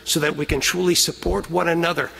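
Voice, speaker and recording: A middle-aged American man preaches a homily in a high, husky voice. He is earnest and emphatic, stretching key phrases for weight. His words ring with long reverb from a chapel pulpit microphone. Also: little pitch movement, fast talker, pushed and bright. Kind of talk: homily, telling stories and exhorting. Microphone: gooseneck lectern microphone.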